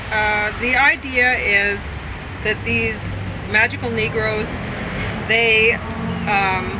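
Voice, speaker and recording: A woman's voice: a little high, heavy background noise. A woman reviewing films in a casual, unscripted chat, rambling and often correcting herself as she goes. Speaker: film reviewer.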